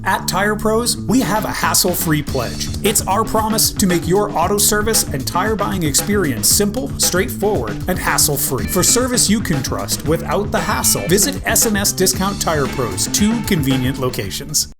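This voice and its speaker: Smooth spot announcer; loud music bed. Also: mid-range voice, expressive, normal speed, hardly any pauses. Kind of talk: scripted ad read.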